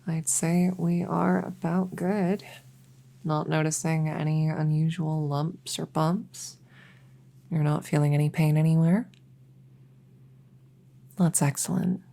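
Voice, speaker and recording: A woman playing a gentle, reassuring witch veterinarian in a soft-spoken ASMR roleplay, soothing and a little hesitant as she chats to her patient. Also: deep voice, gravelly, full character voice. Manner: soothing, hesitant, chatty bedside manner.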